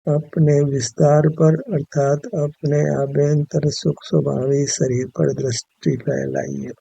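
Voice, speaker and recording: A man leading a guided meditation with spiritual teaching, speaking in measured, formal, instructive phrases. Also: a little high, little pitch movement, vocal fry, dark tone, husky, no room sound, poor audio.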